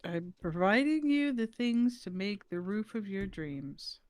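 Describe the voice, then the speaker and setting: Slow-talking woman in game banter. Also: mid-range voice, animated, a little mellow. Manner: laid-back, drawn-out delivery, light joking. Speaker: co-player on a livestream. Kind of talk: casual gaming chat.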